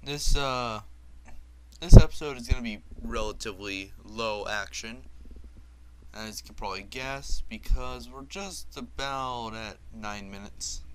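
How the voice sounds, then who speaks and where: A man's voice: medium pitch, measured pace, low-quality recording. A man giving casual, rambling, off-the-cuff commentary as host of a gaming video. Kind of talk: casual game commentary over cutscenes. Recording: game music behind voice. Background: loud game soundtrack.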